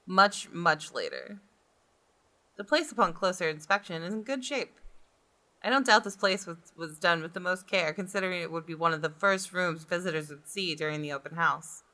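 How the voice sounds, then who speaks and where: A woman gaming creator reading visual novel narration aloud, with expressive reactions. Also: fairly low pitch, normal speed. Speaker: gaming YouTuber.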